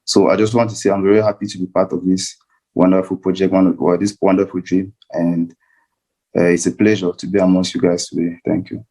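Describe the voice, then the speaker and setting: A man's voice: deep voice, level tone, a little fast, warm tone, poor audio. A young man with a Cameroonian accent speaking as a panel guest, searching a bit for his words. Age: young adult. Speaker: guest speaker, student climate activist. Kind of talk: informal panel speech.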